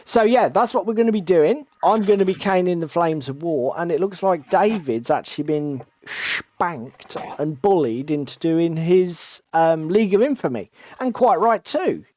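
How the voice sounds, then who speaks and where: Gravelly-voiced man, chatty. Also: on the high side, lively intonation, moderate pace, hardly any pauses, darkish tone, dull audio. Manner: chatty, self-deprecating, swears casually. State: gravelly, strained voice. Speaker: co-host of a tabletop hobby stream. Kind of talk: casual chat.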